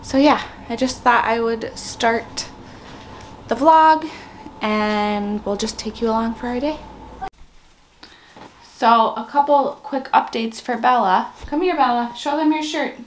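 A woman in her thirties with a raspy morning voice, chatting casually to a handheld camera as she vlogs her day at home. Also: on the high side, a little slow, a little hiss or hum, rough recording. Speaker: family vlogger and mother. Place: rooms at home, indoors. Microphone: camera at arm's length, sometimes set down.

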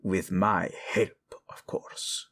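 A man playing a cold, scheming middle-aged royal father in an audio drama, slow, insinuating and theatrical, with falsely courteous mockery and a gravelly, husky voice. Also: low-pitched, fairly even intonation, fairly bright tone, exaggerated voice. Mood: mocking, needling. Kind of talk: dramatic audio roleplay acting.

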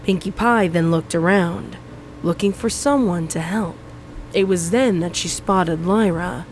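A woman's voice: on the low side, unhurried, darkish tone, a little hiss or hum, poor audio. A woman reading scripted story narration.

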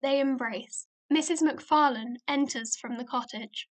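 A woman's voice: high voice, a little slow. A woman reading scripted narration for a radio play, announcing credits and stage directions.

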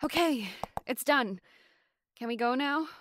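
A woman's voice: sits high, animated, unhurried, fairly bright tone, slight background noise. An actress playing a blunt, defiant young woman among amateur robbers in an audio drama. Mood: adamant.

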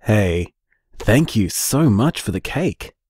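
An actor playing a loving, frank and wry young Australian boyfriend in an audio drama told through voicemails. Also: male voice, lowish voice, very animated, slow pace, darkish tone, breathy edge, no echo, clean studio sound, heavily acted. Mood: grateful, fond.

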